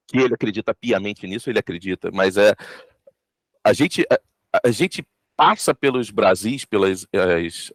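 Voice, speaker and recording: A Brazilian man gives a casual interview in a slightly low voice. He speaks haltingly, repeating and rephrasing as he goes. The recording is very dry, with almost no room sound. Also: no echo. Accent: Brazilian Portuguese. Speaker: audio drama team member.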